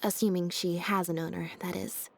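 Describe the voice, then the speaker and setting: A woman voicing a laid-back, deadpan young stage magician's assistant in a video game, speaking in an unhurried, matter-of-fact way. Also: lowish voice, gravelly. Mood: thoughtful. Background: game background music.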